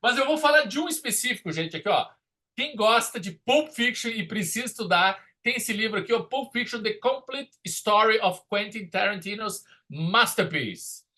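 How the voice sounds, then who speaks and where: Bright, forceful man. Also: sits high, lively intonation, normal speed. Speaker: podcast host and film critic. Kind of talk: casual film discussion.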